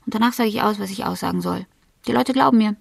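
An actress playing a cool, self-possessed woman in a German radio crime drama, speaking deliberately and matter-of-factly, sometimes almost whispering. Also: mid-range voice, vocal fry, dry recording, distant mic. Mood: assertive, proud.

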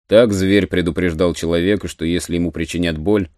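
A man narrating an adventure story in a measured, even storytelling manner. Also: sits low. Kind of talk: scripted narration of a radio play.

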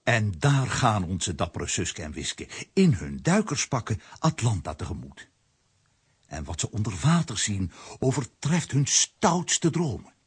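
A man's voice: on the low side, sing-song, unhurried, husky, exaggerated voice. A man narrating a children's audio drama as a wry, dramatic storyteller.

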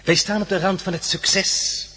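An actor playing a smug, menacing crime villain in a radio drama, mock-polite and taunting. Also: male voice, high voice, steady tone, unhurried, sharp tone, slightly rough, heavily acted. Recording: echoey hall reverb.

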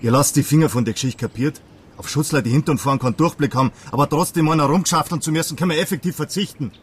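A man's voice: on the high side, racing, husky. An actor playing a hostile, sneering policeman with a Bavarian accent in a radio crime drama, curt and threatening. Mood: threatening, contemptuous. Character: hostile, bullying, contemptuous plainclothes policeman.

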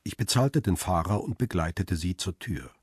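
A man playing a middle-aged, reflective photographer who narrates a radio crime drama in a restrained, husky voice. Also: deep voice, expressive, normal speed, no room sound, clean studio sound, exaggerated voice. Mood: pensive. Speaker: narrator and lead character.

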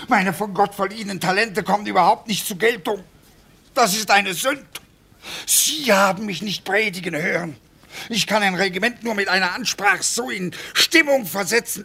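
An actor in a classic German radio play, playing a self-important, earnest army chaplain who speaks in preachy, declamatory rhetoric with a husky, gravelly voice. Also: male voice, sits high, pushed and bright, exaggerated voice. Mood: indignant, boastful.

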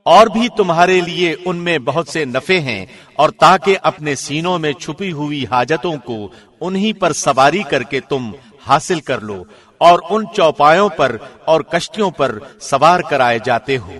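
A man reading a scripture translation as formal narration, solemn and measured, with careful enunciation. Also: fairly high pitch, lively intonation, a bit bright. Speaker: translation narrator, radio host.

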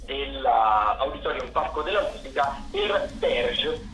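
A man with a bright, forward voice presents radio arts listings. He speaks hesitantly, often reaching for the next word. The recording is roomy and echoey, with faint noise behind him. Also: medium pitch. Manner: halting, hesitant, searching for words. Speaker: radio theatre correspondent. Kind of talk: spoken listings of theatre and dance events.

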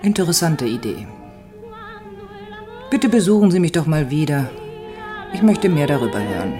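A woman's voice: low voice, sing-song, normal speed, over music. A woman playing a commanding cocaine queen in a radio drama, composed, courteous and authoritative. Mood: cool interest. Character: commanding female cocaine boss, cool and courteous.

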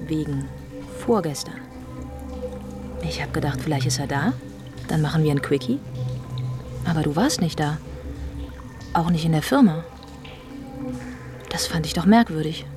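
Probing, suspicious wife over music. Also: female voice, low-pitched, measured pace, pauses often. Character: probing, suspicious wife, hurt but composed. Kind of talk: German radio drama acting.

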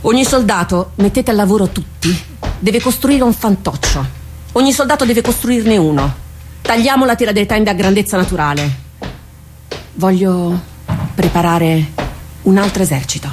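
An actress playing a proud, seasoned woman warrior captain in a radio drama, wry and resolute, speaking formally and deliberately. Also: medium pitch, a little mellow, slight background noise, natural voice. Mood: commanding. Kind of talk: theatrical radio drama acting. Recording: studio radio drama with music underneath.

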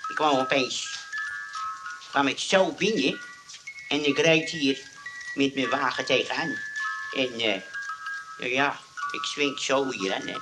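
An actor playing a muddled, cheerful old grandfather in a radio play, halting and repeating himself. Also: medium pitch, over music, close to an everyday voice, poor audio. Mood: rambling. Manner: rambling, repetitive, halting.

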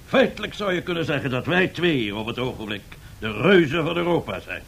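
An actor playing a pompous, boastful Italian fascist dictator in a radio drama, husky and given to grandiose speechmaking. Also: male voice, on the high side, sing-song, a little fast, a bit bright, slight background noise, heavily acted. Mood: grandiose welcome. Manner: grandiose, self-satisfied, rhetorical speechmaking. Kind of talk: 1970s radio drama acting.

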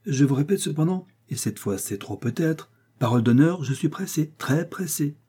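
A man's voice: on the high side, animated, normal speed, warm tone, slightly rough, voice pushed up. A man reading aloud and voicing a young, hot-headed male swordsman in a hurry, speaking in a heated, defiant manner. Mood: hurried.

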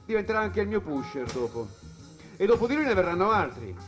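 An actor playing an ailing jazz musician in a dramatic stage monologue, looking back on wild nights from a hospital bed. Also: male voice, high-pitched, brisk, over music. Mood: reminiscing. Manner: dramatic, swinging between brooding and excited.

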